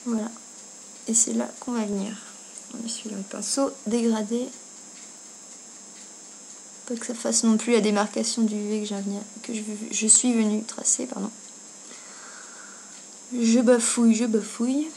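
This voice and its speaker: A woman talks through a makeup tutorial in an informal way, as if thinking aloud while she works. Her voice sits fairly high. It is a homemade indoor recording with some faint noise behind her. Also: mellow, rough recording. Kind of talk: casual step-by-step tutorial chat. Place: indoors at home. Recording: noticeable background noise, amateur home video.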